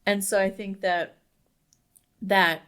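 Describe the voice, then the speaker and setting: A woman's voice: mid-range voice, flat delivery, slow, fairly bright tone, smooth. A young adult woman co-hosting a casual podcast discussion, talking emphatically and dropping "like" in very often. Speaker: podcast co-host.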